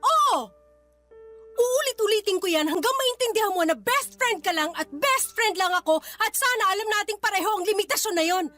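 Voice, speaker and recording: Heartbroken, high-pitched young woman, fed up. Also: expressive, moderate pace, bright, forceful tone, faint music behind, heavily acted. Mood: indignant, defiant. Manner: emotional, pleading, emphatic. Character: heartbroken, fed-up young woman confronting her best friend. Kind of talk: Tagalog radio drama acting.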